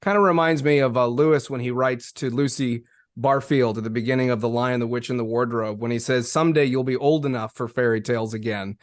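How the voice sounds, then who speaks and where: A man's voice: mid-range voice, steady tone, fairly quick, dry recording, clean studio sound. A man hosting a podcast interview, speaking in a casual, conversational way.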